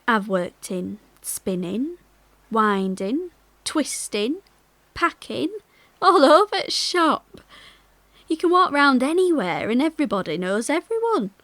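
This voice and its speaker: An actress playing a cheerful, gossipy young working-class millworker from Yorkshire, chatting confidingly in a monologue. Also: female voice, high-pitched, slow, dry recording, heavily acted. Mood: chatty, cheerful. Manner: colloquial, confiding, animated. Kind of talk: dramatic monologue, chatty and conversational.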